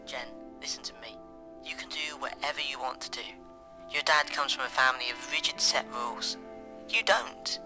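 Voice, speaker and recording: A loving, encouraging mother in a sci-fi audio drama, played by a woman. Her voice is extremely low for a woman, with clear music behind it. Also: normal speed. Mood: tender.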